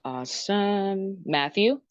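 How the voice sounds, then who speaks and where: A woman hosting a group dating show, calling on guests in turn with brisk, short approvals. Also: medium pitch, lively intonation, no room sound, muffled recording. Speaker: host.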